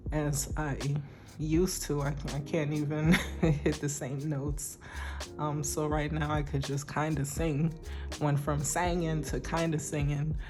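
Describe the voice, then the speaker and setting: Woman, weak shaky voice, low pitch, slow hesitant casual chat, music behind. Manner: slow and soft-spoken, with hesitant ums and light laughs. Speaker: budgeting vlogger.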